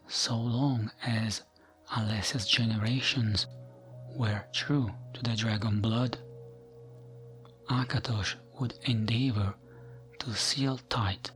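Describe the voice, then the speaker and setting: A man softly reading fantasy lore as ASMR in a breathy, often whispered voice, with a gentle, soothing manner and frequent pauses. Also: on the low side. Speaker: ASMR gaming channel host.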